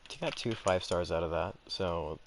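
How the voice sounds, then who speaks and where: A young man giving casual gameplay commentary, rambling and halting as if talking to himself. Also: deep voice, very noisy. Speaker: gaming video creator. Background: loud game music.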